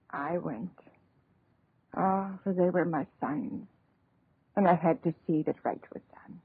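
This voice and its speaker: An aged, sorrowful mother telling a grim tale in a 1930s radio play. Her voice is very husky and breathy, halting and slow. It comes through a thin, narrow old recording. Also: medium pitch, dry recording. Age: older. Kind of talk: 1930s radio acting, dramatic narration.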